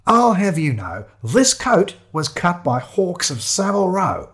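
Man acting a pompous, indignant gent, lifted high and animated. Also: normal speed. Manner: pompous, wordy, formal. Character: Shiloh, indignant haughty man sleeping rough, commanding. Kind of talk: audio story character voice.

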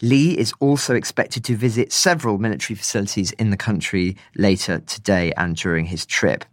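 A British man presenting a scripted news summary on a podcast, speaking in a measured, articulate way with brief commentary. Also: fairly low pitch, dry recording, clean studio sound.

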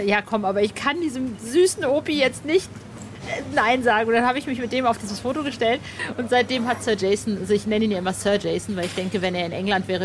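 A woman hosting a casual interview, talking in a chatty, informal, slangy way. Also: on the high side. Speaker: podcast host, interviewer. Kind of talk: casual chat. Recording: clear background noise.

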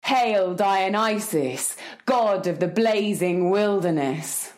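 A woman's voice: mid-range voice, expressive, slow pace, a little mellow. A woman acting a fierce, reborn wild woman in a poetic audio drama, with a solemn, incantatory delivery. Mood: exultant.

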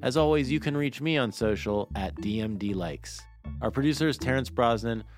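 A man's voice: mid-range voice, moderate pace, music in the background. A man hosting an interview podcast in a casual, conversational way, often rephrasing as he goes. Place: studio.

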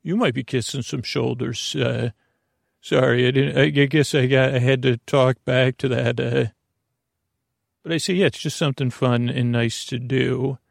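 A man with a noticeably creaky, middle-pitched voice hosts a bedtime podcast. He rambles in a silly, roundabout way, hesitating and restarting his sentences. The sound is dry, with no room echo. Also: fairly even intonation, normal speed. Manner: meandering, hesitant, self-interrupting; whimsical, silly. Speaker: podcast host.

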